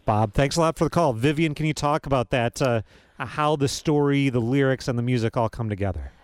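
Guy running a call-in show, dry close mic, brisk and easygoing. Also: mid-range voice, clear, resonant tone, dry recording. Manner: brisk, conversational. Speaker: radio host. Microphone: studio microphone.

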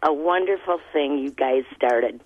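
A woman's voice: sits low, measured pace, dry recording. A woman calling into a radio show, gushing with enthusiasm, heard over a phone line. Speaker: caller.

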